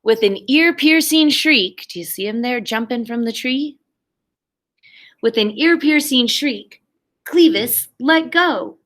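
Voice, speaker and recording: A woman's voice: on the high side, lively intonation, slow, a bit bright. A woman primary school teacher reading a story aloud expressively and talking simply and encouragingly to young children.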